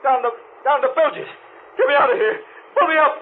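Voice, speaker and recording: Panicked diver, high-pitched and stammering. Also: male voice. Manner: halting, stammering, pleading. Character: panicked deep-sea diver trapped in a sunken wreck. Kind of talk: old-time radio thriller acting. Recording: tinny, noisy vintage radio recording.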